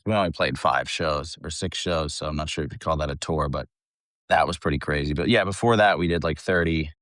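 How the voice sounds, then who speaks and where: A man from the United States talking casually as an interview guest, laid-back, slangy and quick to swear. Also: extremely low pitch, a bit bright, no echo, studio quality. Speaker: interview guest, band vocalist. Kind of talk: casual chat.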